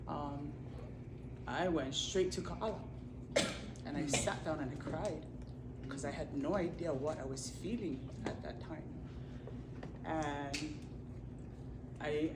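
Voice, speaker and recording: A speaker tells a personal story slowly, hesitant and earnest with many pauses and restarts. The voice sits far from the mic in a roomy space. Steady background noise runs beneath. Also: mid-range voice. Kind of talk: personal testimony. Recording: roomy, distant, noisy room recording.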